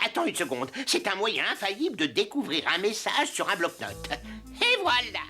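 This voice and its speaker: Bright, animated little monkey detective. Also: female voice, medium pitch, normal speed, faint music behind. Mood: sleuthing. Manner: conspiratorial, theatrical. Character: mischievous young male monkey sidekick, suspicious amateur detective. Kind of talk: French cartoon dubbing.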